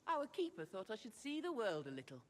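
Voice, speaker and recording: A woman's voice: a little high, wildly swooping pitch, brisk, long reverb tail, a little hiss or hum. An actress playing a coy female elf in a video game, speaking with mock innocence. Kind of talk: video game character dialogue.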